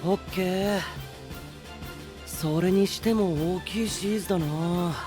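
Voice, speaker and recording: Cool young anime fighter, casual, teasing, unhurried, music underneath. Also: male voice, high-pitched. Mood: unruffled. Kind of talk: Japanese anime audio drama acting.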